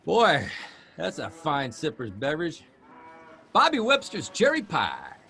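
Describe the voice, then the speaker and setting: A man's voice: on the high side, wildly swooping pitch, measured pace, vocal fry, sharp tone, exaggerated voice. An actor playing a folksy Midwestern traveling newspaper columnist, a man in a period radio drama. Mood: merry, folksy.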